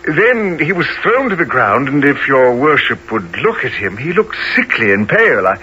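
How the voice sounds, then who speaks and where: An actor playing an earnest, kindly gentleman in a radio drama, speaking in a halting, flustered way. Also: mid-range voice, very animated. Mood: concerned. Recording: dull lo-fi radio recording, faint noise.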